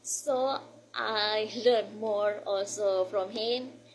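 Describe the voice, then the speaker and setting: Indonesian woman chatting casually, mid-pitched. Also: steady tone, drawn-out words, low-quality recording. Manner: informal, mixes Indonesian with English phrases. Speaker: vlogger sharing English-learning tips. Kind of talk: casual vlog chat.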